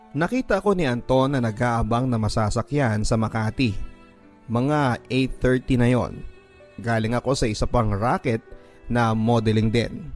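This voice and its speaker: A man's voice: mid-range voice, darkish tone. A man narrating a love story in the first person as a dramatized radio drama. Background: background music.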